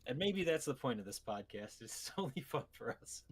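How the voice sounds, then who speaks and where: A man's voice: medium pitch, sing-song, moderate pace, slightly rough. A man with a mid-range voice hosts a casual podcast. He talks in a wry, bantering way, like someone chatting with friends. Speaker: podcast host.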